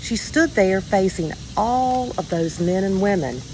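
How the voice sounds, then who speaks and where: A woman reading a children's story aloud in a measured, expressive way with deliberate pauses. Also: medium pitch, a little hiss or hum, low-quality recording. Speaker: storybook reader.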